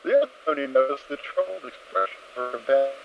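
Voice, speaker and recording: Expressive man narrating a story. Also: mid-range voice, fast, faint music behind. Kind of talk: dramatic reading narration. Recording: dry, slightly dull home mic.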